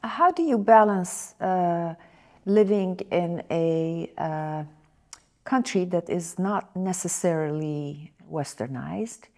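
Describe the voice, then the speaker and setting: A woman interviewer asking long questions in a halting, hesitant way full of fillers. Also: on the low side, dark tone.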